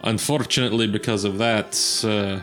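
A man's voice: fairly low pitch, fairly even intonation, normal speed, a bit bright, soft background music. A man recapping a tabletop adventure in character, with formal, old-fashioned phrasing and hesitations. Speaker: player recapping the story.

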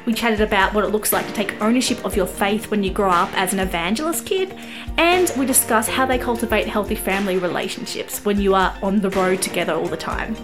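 A woman's voice: medium pitch, brisk, over music. Australian woman hosting an interview. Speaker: podcast interviewer and host. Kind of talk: scripted introduction and friendly interview chat.

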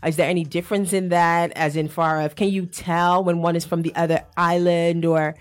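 A woman's voice: lowish voice, level tone, normal speed. A young woman interviewing two guests in a casual chat, laughing often and affirming them. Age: young adult.

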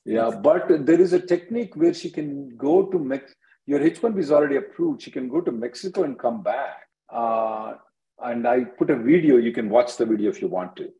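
A man, hosting a call-in as an attorney, briskly answering questions and repeating himself. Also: medium pitch, expressive, warm tone. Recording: dull lo-fi conference call audio.

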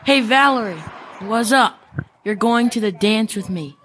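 A female performer playing a mean, taunting schoolboy bully in an amateur school radio play, with a husky voice. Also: medium pitch, unhurried, a bit bright, slight background noise, natural voice. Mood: pushy.

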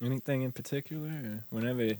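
A man's voice: medium pitch, fast talker, mellow. A man co-hosting in casual banter, jokey and slangy, with some swearing. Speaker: podcast co-host.

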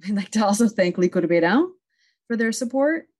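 A woman's voice: mid-range voice, animated, a little fast, darkish tone. A woman hosting a virtual conference panel over a video call, friendly and talking with frequent fillers. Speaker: conference moderator.

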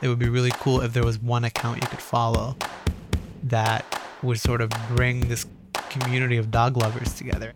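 Flat-voiced man answering interview questions. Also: mid-range voice, normal speed, over loud music. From Egypt, raised in Minnesota. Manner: flat, steady, uses many fillers. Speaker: guest, business owner.

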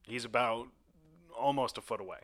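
A man's voice: medium pitch, normal speed, a bit bright, slight background noise. A man hosting a podcast on a studio mic, joking around in crude, teasing casual chat. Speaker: podcast host.

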